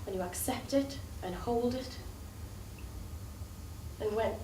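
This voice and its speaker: High-voiced devoted lover, noisy echo. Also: female voice, dark tone. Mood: reflective. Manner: earnest, deliberate, measured phrasing. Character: devoted, pleading woman in love, self-sacrificing dreamer. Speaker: stage actor. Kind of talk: theatrical stage drama dialogue. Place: theatre stage, distant microphone. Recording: echoey theatre recording, distant, clearly noisy.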